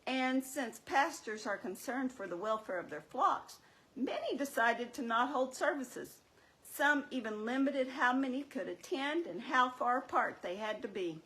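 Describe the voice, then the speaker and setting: Woman as sensible, teasing church-show puppet: high pitched, swooping intonation, explains and chides. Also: expressive, a little slow, a bit bright, low-quality recording. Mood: earnest, correcting. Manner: explanatory, chiding, wry. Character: Mary, sensible, teasing, scolding female puppet.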